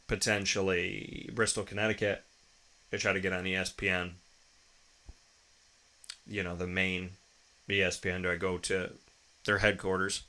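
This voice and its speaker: A man's voice: low-pitched, pushed and bright. An American man in his thirties hosting a show with a motivational personal monologue, speaking emphatically and repeating phrases and rhetorical questions. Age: 30s. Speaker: show host.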